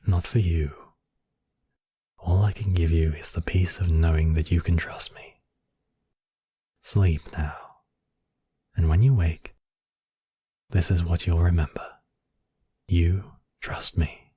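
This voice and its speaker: A coldly possessive high mage villain, acted by a man for an ASMR fantasy roleplay. His voice is low and husky, with a slow, deliberate delivery. He speaks imperiously, like one used to being obeyed. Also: fairly even intonation, mellow, dry recording, full character voice. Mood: regretful, controlling. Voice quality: breathy, husky, often dropping to whispers. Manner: imperious, deliberate, addressing listener as apprentice. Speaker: voice actor.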